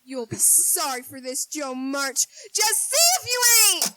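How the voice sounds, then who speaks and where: A woman's voice: high-pitched, sing-song, measured pace, sharp tone, heavily acted. A woman acting a petulant, pleading little girl with a whiny, dramatic delivery in a 1940s-style radio drama. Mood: spiteful, defiant. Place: live theater stage.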